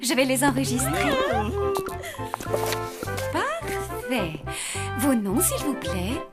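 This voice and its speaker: A lively cartoon zombie lady who runs a hotel. She is brisk, formal and courteous yet commanding, speaking over music. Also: high-pitched, full character voice.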